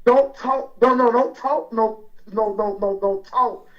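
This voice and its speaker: Man, pastor caller, angry, threatening, repeats himself, high pitch, muffled sound. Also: slow. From Alabama, US. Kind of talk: angry phone call to a radio show. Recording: dull lo-fi phone-in sound.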